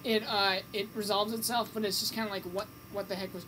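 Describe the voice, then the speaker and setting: A woman's voice: medium pitch, flat delivery, a little fast, fairly bright tone. Hesitant woman co-host, noisy recording. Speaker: radio show co-host. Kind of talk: casual movie review banter.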